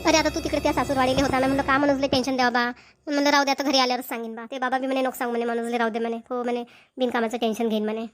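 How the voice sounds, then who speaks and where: A woman's voice: high voice, little pitch movement, very fast, over music, lightly acted. A woman voicing affectionate village women in a family cartoon, chatty and homely, with a rural Maharashtrian accent. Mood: fond, chatty. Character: affectionate village women, doting grandmother and dutiful daughter-in-law. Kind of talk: Marathi family cartoon voice acting.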